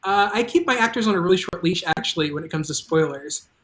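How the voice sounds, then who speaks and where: The show's creator hosts a live stream in a mid-pitched voice. The talk is casual and unscripted, moving in halting phrases with offhand asides. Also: animated, a bit bright. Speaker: show creator and table read host. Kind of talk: casual, unscripted talk about making a show.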